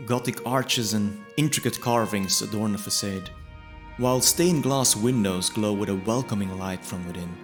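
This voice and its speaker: A man delivering scripted first-person fantasy narration in a measured, descriptive storytelling manner. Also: lowish voice. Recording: music bed under the voice.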